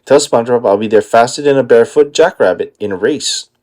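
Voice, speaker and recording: A man voicing a brisk, no-nonsense female squirrel friend in a cartoon storybook, firm and direct. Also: mid-range voice, a little mellow, close to an everyday voice.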